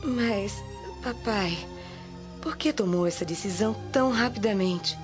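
A woman's voice: mid-range voice, a little slow, lightly acted. A Brazilian woman playing a worried, devoted young Roman noblewoman in a radio drama, pleading and concerned. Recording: muffled audio with music behind.

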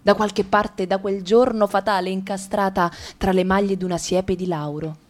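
An Italian woman reads a literary passage for a radio drama. She keeps a calm, measured pace in a mid range. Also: medium pitch, slight background noise.

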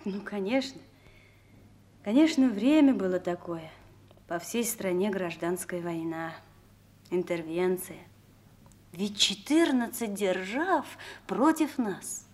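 Actress as young wife, female, medium pitch, musing, curious, respectful, radio play. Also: slow pace, pauses often, darkish tone, natural voice. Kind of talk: Russian radio drama acting.

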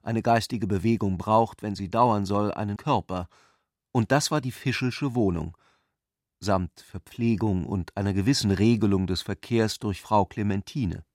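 A man narrating a literary radio drama in an articulate, measured way. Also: fairly low pitch, darkish tone, no echo, studio quality.

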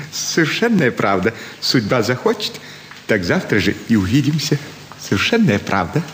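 A man's voice: medium pitch, moderate pace, a little hiss or hum. An actor playing a fawning elderly provincial landowner and doting father, effusive and sentimental in a classic stage radio play. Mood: courteous. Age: older. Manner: effusive, sentimental, flattering with many sighs. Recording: roomy stage hall with faint ambience.

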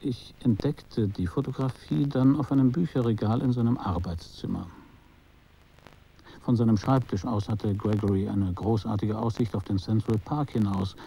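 An actor playing a detached, observant photographer who tells his story in a radio play, measured and matter-of-fact. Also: on the low side, creaky, mellow, slight background noise, poor audio. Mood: detached, reflective. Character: detached, observant male art photographer recounting his encounters. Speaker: narrator and main character of a radio play. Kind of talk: literary first-person radio drama narration.

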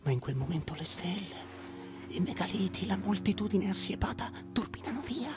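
An actress playing a dreamlike, awestruck narrator in a breathy, hushed whisper, as in radio theatre. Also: female voice, mid-range voice, animated, normal speed, lightly acted. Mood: hushed, awed. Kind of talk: dramatic literary reading, radio theatre.

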